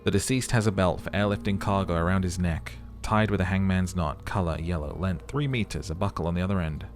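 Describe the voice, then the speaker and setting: A low-voiced police lieutenant played by a man in a video game, calm and methodical. He dictates in a dry, clinical manner, with faint music behind him. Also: lightly acted. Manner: clinical, matter-of-fact, deliberately dry. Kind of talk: video game character voice acting.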